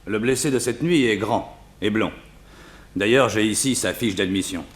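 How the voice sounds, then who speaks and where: A male actor plays a calm hospital official in a sixties French radio serial. His lowish voice is precise and matter-of-fact. The room rings around him with clear echo. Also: moderate pace, lightly acted. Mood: calm, corrective. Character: calm, reasoning hospital staff man correcting patient. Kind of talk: 1960s French radio drama acting.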